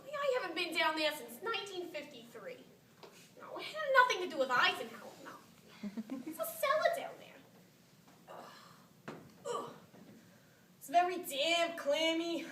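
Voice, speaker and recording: A woman's voice: very high voice, sing-song, measured pace, long pauses, sharp tone, full character voice. A woman playing a squeamish, evasive elderly lady in a live stage monologue, dismissive and conversational in her disgust. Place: stage in a hall. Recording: roomy, distant live theatre recording, noisy.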